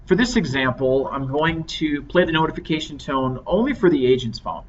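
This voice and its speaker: A man giving a measured, step-by-step software tutorial as an instructor. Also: a little high, expressive. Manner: measured, explanatory step-by-step delivery. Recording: dull lo-fi microphone with clear noise.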